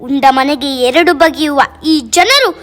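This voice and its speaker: A woman's voice: high voice, big pitch swings, measured pace, a bit bright, exaggerated voice. A woman voicing a weeping river spirit. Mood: sorrowful. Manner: lamenting, declamatory. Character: weeping female river spirit, sorrowful and wronged. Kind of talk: amateur radio play.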